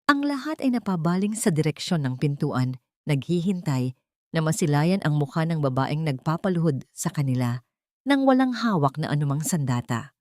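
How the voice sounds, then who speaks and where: A woman storyteller reading a scripted dramatic story in measured, solemn phrases. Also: low voice, darkish tone, no echo, studio quality.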